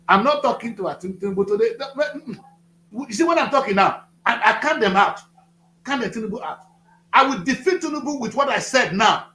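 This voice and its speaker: Nigerian guy in his 50s preaching hard, voice swings up high, sounds kinda roomy. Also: sharp tone. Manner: preachy, emphatic, repeats phrases for effect. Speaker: studio guest. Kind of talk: passionate political talk.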